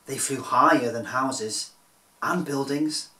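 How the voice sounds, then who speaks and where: A man reading a rhyming children's picture book aloud in a theatrical, deliberate manner. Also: mid-range voice, pushed and bright, echoey room, rough recording. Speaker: children's storyteller.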